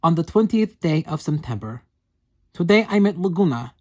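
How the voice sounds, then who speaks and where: A woman's voice: on the low side, expressive, moderate pace, warm tone. A woman voicing an earnest young female yeti, reading her diary in stiff, formal, broken English. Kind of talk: reading diary entries aloud.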